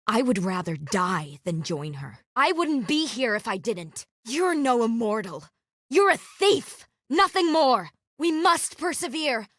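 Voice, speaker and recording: A woman voicing a solemn, honorable warrior in a video game, speaking in a formal, measured and earnest way. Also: on the high side, a bit bright, no room sound, studio quality, heavily acted. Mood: fierce, determined. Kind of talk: scripted game character dialogue.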